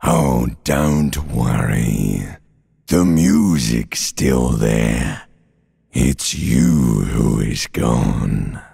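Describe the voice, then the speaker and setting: An ancient, primal creature of the mind speaks in theatrical game style. The voice is bottomlessly low and thick with guttural fry. It drags its words very slowly, taunting and nihilistic, full of menace. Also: male voice, dark tone, heavily acted. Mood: mocking, crude. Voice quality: gravelly, very raspy, guttural. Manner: slow, drawn-out, menacing, mocking. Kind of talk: theatrical video game voice acting.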